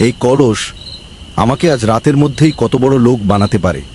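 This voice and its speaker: A man acts out a greedy, bossy village councilman from a comic cartoon, cowardly underneath his pomp. He speaks mid-pitched, with melodramatic exclamations. Clear noise and night insects sit behind him. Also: brisk, darkish tone, close to an everyday voice. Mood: pompous, greedy. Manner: pompous, exclamatory, pleading when frightened.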